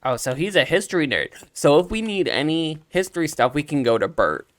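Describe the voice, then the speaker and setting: A man's voice: on the high side, fairly quick, dry recording. A man giving casual, playful commentary as he plays through a video game. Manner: playful, animated, reads dialogue aloud in character. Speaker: let's play streamer voicing characters and reacting.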